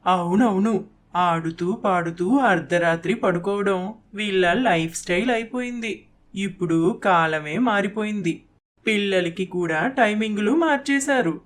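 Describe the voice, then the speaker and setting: A woman's voice: medium pitch, a little slow, natural voice. A woman voicing an elderly, traditional mother-in-law in a cartoon story, resigned and mildly complaining. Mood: resigned complaint.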